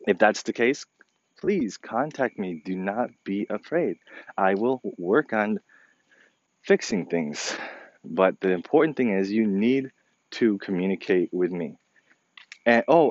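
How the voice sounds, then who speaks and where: A man running his company, speaking informally to his staff in a podcast, with a slightly crackly voice and a halting, earnest delivery full of fillers and restarts. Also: on the low side, dry recording, dull audio. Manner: earnest, repeats himself to stress points. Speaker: company president addressing staff. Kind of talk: informal podcast address to employees.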